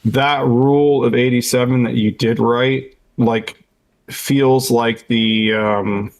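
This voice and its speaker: A man's voice: medium pitch, steady tone, takes it slowly. A man with a mid-range voice talks as a podcast co-host. His delivery is casual and informal, supportive of the person he is chatting with. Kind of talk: casual chat.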